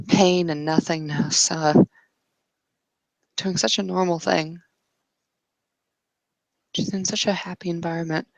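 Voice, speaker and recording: Woman, creaky low voice, dry sound, tentative improvised game narration, broken phrases. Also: dry recording, rough recording. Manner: halting, tentative, piecing sentences together. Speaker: roleplaying game player. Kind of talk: improvised tabletop roleplay narration.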